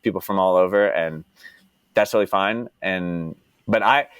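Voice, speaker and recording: A man's voice: sits low, normal speed, clear, resonant tone, dry recording. A young man from Dallas, chatting casually as a podcast guest, rambling and filling his talk with "like" and "you know". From Dallas, United States. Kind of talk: casual interview chat.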